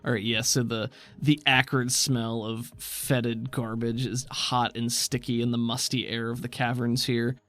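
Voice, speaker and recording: A man's voice: medium pitch, flat delivery, a little slow, few pauses, fairly bright tone. A man narrating a tabletop roleplaying game as game master, mixing descriptive scene-setting with casual asides. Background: background music.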